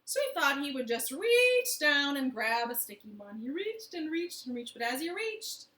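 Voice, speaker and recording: A woman's voice: high-pitched, sing-song, moderate pace, bright, forceful tone. A woman telling a children's story expressively from her living room, speaking directly to young listeners. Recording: roomy, echoing home living room.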